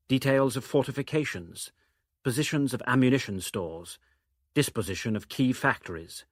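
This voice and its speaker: Low, dry-recorded male narrator. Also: fairly bright tone, dry recording. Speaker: documentary narrator. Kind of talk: dramatic scripted narration.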